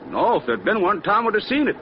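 High-voiced, lively factory foreman. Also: quick pace. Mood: folksy, obliging. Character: talkative, simple male factory foreman. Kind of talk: 1940s radio drama character acting. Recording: muffled, faintly noisy old radio recording.

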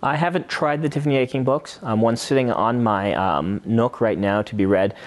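A man's voice: medium pitch, normal speed. A man co-hosting a podcast, giving casual critique in discussion. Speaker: podcast co-host and writer.

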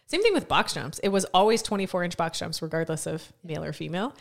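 A woman with a fairly low voice talks at a brisk clip, hosting a relaxed podcast conversation. She is chatty, laughs easily and fills her sentences with "like". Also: gravelly. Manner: chatty, laughs often, says "like" a lot. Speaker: podcast host and CrossFit coach. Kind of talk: casual interview chat.

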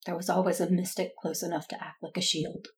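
A woman's voice: fairly low pitch, flat delivery, fairly quick, lightly acted. A woman voicing an anxious, remorseful female seer who speaks urgently in an audio drama.

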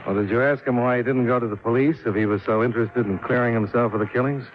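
An actor playing a cool, businesslike insurance investigator in a 1950s radio drama, with clipped, matter-of-fact delivery. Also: male voice, medium pitch, fairly even intonation, quick pace, lightly acted. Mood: probing, skeptical. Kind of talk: 1950s radio drama acting with first-person narration. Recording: dull 1950s radio recording, music behind.

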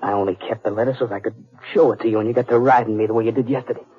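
An American actor playing an earnest, guilt-ridden cowboy brother in a 1950s radio western, husky and halting. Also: male voice, medium pitch, fairly even intonation, natural voice. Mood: earnest, pleading. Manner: halting, pleading. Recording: tinny, narrow 1950s radio recording, music.

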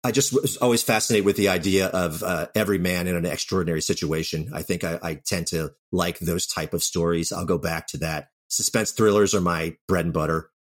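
Low-voiced man, candid storyteller. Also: steady tone, fairly quick, hardly any pauses. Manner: anecdotal, candid. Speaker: interview guest, audio drama writer. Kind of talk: reflective interview talk.

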